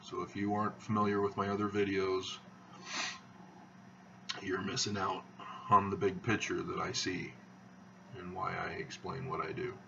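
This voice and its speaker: A man hosting his own video channel, talking in a slow, halting monotone with many fillers as he rambles. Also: low voice, gravelly, recorded from a distance, muffled recording, background noise. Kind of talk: rambling monologue to camera.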